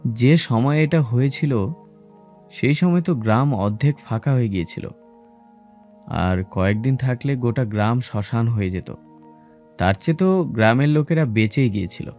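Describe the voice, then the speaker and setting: A thoughtful man played by an actor reasons plainly at a medium pitch. It is audio-drama dialogue over faint music. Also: lively intonation, normal speed, mellow, lightly acted. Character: thoughtful male listener of the sage's tales. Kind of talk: audio story acting.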